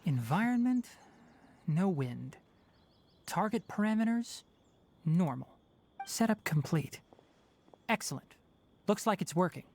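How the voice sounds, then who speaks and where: An actor playing an earnest, methodical young male researcher in a video game, explaining things in a precise, measured way. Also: high voice, sing-song, darkish tone, slight background noise, heavily acted. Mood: focused. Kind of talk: video game character acting.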